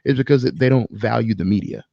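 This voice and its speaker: A man co-hosting a casual podcast, speaking in an opinionated, emphatic way with swearing and halting pauses. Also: medium pitch, expressive, vocal fry, no room sound, dull audio. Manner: profane, emphatic, halting with pauses mid-thought. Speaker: podcast co-host. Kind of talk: casual film discussion, opinionated ranting.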